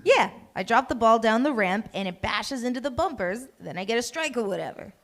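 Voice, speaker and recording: A woman's voice: a little high, expressive, fairly quick. A woman playing a crude, flirtatious man who cheats at bowling, read casually and brazenly in a live comedy table read.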